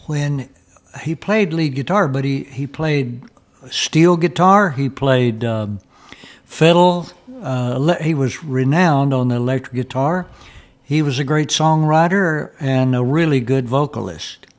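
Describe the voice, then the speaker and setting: An older man talks in a casual, reminiscing way. His voice sits at a middle pitch. He moves haltingly, as if feeling around for names and details. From Southern US, Tennessee and Kentucky. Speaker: guest country singer and storyteller.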